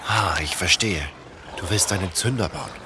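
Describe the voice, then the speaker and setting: An actor playing a steady, decisive leader of zombie-apocalypse survivors, urgent and commanding, in a German audio drama. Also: male voice, deep voice, moderate pace, background noise. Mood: tense, resolute. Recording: dramatic music under the voice.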